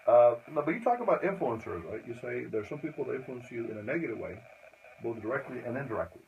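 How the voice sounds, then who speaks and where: A man co-hosting a podcast who asks questions in a lively, prompting way. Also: medium pitch, creaky, highs cut off, a little hiss or hum. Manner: lively and prompting, with quick laughs. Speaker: podcast co-host. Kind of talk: interview questions.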